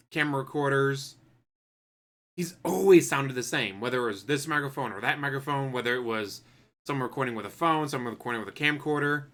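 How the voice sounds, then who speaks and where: A man's voice: medium pitch, fairly bright tone. A man commenting sarcastically over a streamer's clip.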